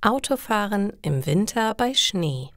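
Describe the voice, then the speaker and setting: A woman's voice: medium pitch, very animated, a little slow, no echo, studio quality. A woman reading a scripted story for language learners in careful, separated phrases.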